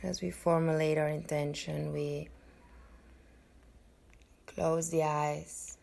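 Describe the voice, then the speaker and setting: Very slow, low-pitched woman guiding meditation. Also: level tone, gravelly, a little mellow. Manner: soothing, unhurried, with long pauses between short phrases. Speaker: podcast host and yoga nidra guide.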